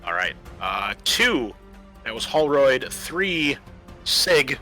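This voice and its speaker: A man's voice: medium pitch, sing-song, slow, bright, forceful tone. A man acting as game master, narrating a tabletop game in a casual, bantering way. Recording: music behind the voice. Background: music.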